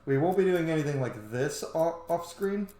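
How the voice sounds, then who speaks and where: A man's voice: on the high side, expressive, moderate pace, mellow. A man hosting a video game playthrough, commenting informally, swearing and thinking aloud. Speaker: gaming video host. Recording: roomy echo, game music behind.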